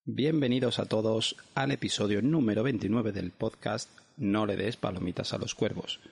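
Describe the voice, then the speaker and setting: A man narrating scripted drama as a podcast host, with hushed, breathy phrase endings. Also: moderate pace. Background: guitar and bass music.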